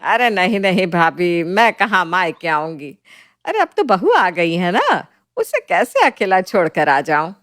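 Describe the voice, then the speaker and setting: A woman's voice: on the low side, sing-song, measured pace, a bit bright. A woman voicing a bossy, possessive older mother-in-law in a story, with a scolding, emphatic manner. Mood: chatty.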